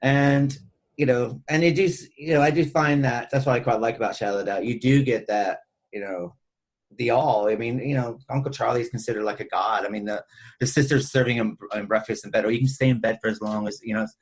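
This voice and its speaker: A man's voice: medium pitch, steady tone, fairly quick, poor audio. A man in a casual, rambling film discussion as a podcast co-host, often leaning on "you know". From northern New York State, United States.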